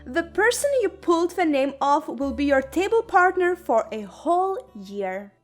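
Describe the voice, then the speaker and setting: A woman voicing a young female schoolteacher in an animated storytime, making a bright matter-of-fact announcement. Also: high-pitched, normal speed, faint music behind. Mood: announcing.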